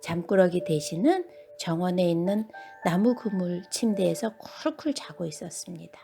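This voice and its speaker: A woman storyteller narrating children's bedtime tales dramatically, often dropping to hushed endings. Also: on the low side, animated, moderate pace, creaky, soft background music.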